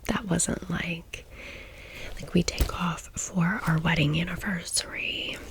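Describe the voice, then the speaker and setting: A woman with an American accent speaks in a hushed, low-pitched whisper at an unhurried pace. She tells her story like an ASMR host confiding in a friend, rambling into small asides as she goes. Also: fairly even intonation.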